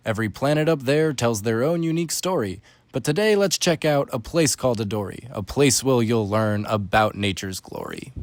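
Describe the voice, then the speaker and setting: A man's voice: mid-range voice, a little mellow, dry recording. A man narrating a rhyming children's story as a scripted read-aloud.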